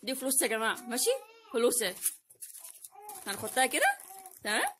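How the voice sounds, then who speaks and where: Fast-talking, high-voiced Egyptian woman. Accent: Egyptian Arabic. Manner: animated, repeats phrases for emphasis. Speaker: vlog host. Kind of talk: casual unboxing vlog chat. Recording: noisy home recording, crinkling packets.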